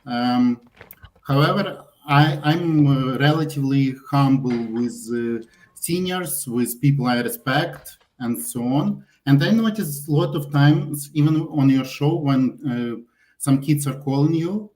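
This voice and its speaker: A man's voice: mid-range voice, darkish tone, slight background noise, poor audio. Male caller, 30s, immigrant, hesitant and polite. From immigrant to Canada. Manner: hesitant, halting speech with many uhs. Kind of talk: live call-in question.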